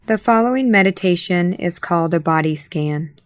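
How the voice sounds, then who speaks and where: A woman leading a guided meditation as an instructor, calmly and in short, measured phrases with pauses. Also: lowish voice, clear, resonant tone, dry recording, clean studio sound. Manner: calm, measured, pausing between short phrases. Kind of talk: guided body scan meditation.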